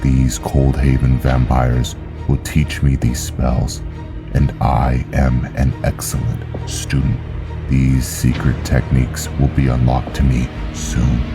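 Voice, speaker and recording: Proud male vampire knight, played by a man, fantasy game roleplay. Extremely low, flat delivery, solemn and measured, music behind. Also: creaky, full character voice. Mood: solemn, confident. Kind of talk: in-character monologue for game roleplay.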